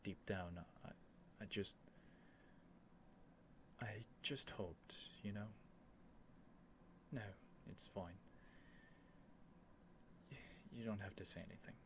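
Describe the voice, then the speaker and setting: A man playing an earnest, nervous young prince devoted to a dragon, in a halting, emotional ASMR roleplay addressed to the listener. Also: fairly low pitch, background noise. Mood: pleading, resigned. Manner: halting, stammering, breathy sighs and whispers, emotional.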